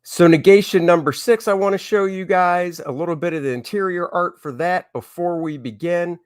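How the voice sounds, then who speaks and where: A man's voice: high voice, normal speed, clean tone, no echo, clean studio sound. A man hosting an art livestream, chatting casually to his viewers in an easygoing, rambling way. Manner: easygoing, rambling, reads and answers chat. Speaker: comic artist hosting a livestream.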